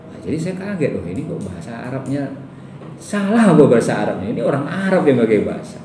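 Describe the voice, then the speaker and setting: Animated Sumatran Indonesian man lecturing, echoey room. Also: medium pitch, quick pace. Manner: conversational, animated, rhetorical questions. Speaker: university lecturer. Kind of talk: classroom lecture with questions to students. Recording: roomy echo, distant mic, faint background noise.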